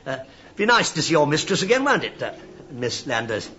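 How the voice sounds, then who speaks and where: A man's voice: a little high, sing-song, fairly quick, sharp tone, highs cut off. A man playing a sly police inspector in a radio drama, rambling with mock absent-mindedness and teasing insinuation. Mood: feigned innocence, needling.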